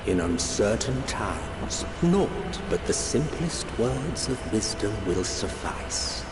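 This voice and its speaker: A grave male sage from a fantasy game delivers cryptic wisdom in a slow, portentous manner. His lowish voice rings with roomy reverb beneath loud, swelling music. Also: sing-song. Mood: solemn, portentous. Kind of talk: theatrical scripted game monologue.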